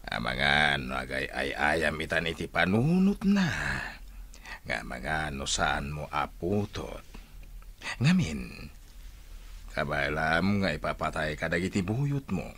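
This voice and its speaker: A man's voice: extremely low pitch, big pitch swings, unhurried, a bit bright, exaggerated voice. A Filipino man playing a stern, emphatic accuser who confronts a crime boss in a radio drama. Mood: reproachful.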